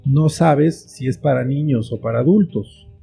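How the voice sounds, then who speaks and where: A man with a very mellow, dark voice answers interview questions in a conversational way. He sits at an average male pitch and builds his thoughts reflectively, phrase by phrase. Faint music plays behind him. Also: normal speed, vocal fry. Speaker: guest, children's book author and screenwriter. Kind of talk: conversational interview, explaining with examples.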